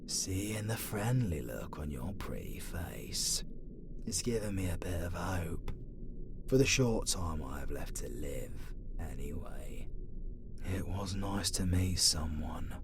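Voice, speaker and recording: A performer acts out a caged demon rogue in a fantasy ASMR drama. The voice is low and British, swinging widely in pitch between defiant growl and tender hush. The delivery is slow, breathy and colloquial. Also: expressive, noisy background, full character voice. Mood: tender, resigned. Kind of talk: ASMR roleplay acting.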